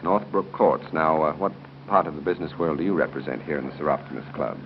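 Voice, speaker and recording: A man's voice: a bass voice, quick pace. An American man hosting a live 1930s radio quiz, ad-libbing and joking genially with contestants. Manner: genial and joking, chuckles between questions. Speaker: quiz show host. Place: hotel room at a club luncheon. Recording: muffled, noisy 1930s radio broadcast recording.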